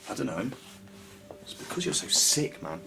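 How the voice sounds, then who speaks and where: A man's voice: medium pitch, sing-song, moderate pace, gravelly, heavy background noise. A man playing a bossy schoolboy duty monitor in an audio drama, accusing and officious. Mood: stern, accusing.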